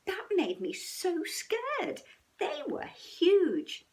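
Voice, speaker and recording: A woman voicing a curious, excitable young bear cub, a little boy with a squeaky, eager storybook voice. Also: very slow, heavily acted. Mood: scared, shaken.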